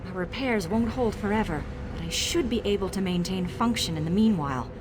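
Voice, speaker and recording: A woman's voice: medium pitch, fairly quick, noisy background, close to an everyday voice. A woman voicing an eager, boastful young female mage tinkerer in a video game, dramatic and nervously evasive. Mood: triumphant, boastful. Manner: dramatic, self-congratulating, hesitant when cornered. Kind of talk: video game character dialogue.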